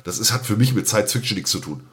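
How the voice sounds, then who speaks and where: A man's voice: lowish voice, animated, very fast, fairly bright tone. A man co-hosting a podcast in casual fan chat, hesitant and roundabout, often repeating himself. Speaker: podcast co-host.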